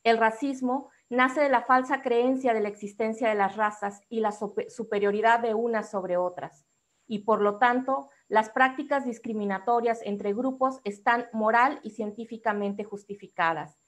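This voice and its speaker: Higher-voiced Mexican woman giving a formal talk. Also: fairly even intonation, normal speed. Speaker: activist speaker on a discussion panel. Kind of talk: prepared public talk, formal.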